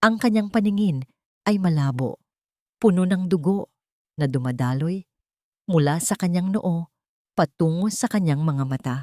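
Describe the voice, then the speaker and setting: Low-voiced woman narrating a dramatic story. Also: lively intonation, moderate pace, long pauses, darkish tone, no room sound, clean studio sound.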